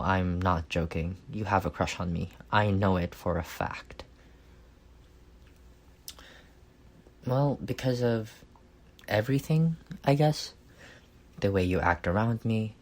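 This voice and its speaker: A man acting a reserved, awkward and earnest best friend in an intimate roleplay, speaking softly and haltingly. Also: deep voice. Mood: matter-of-fact, gentle. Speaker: voice actor.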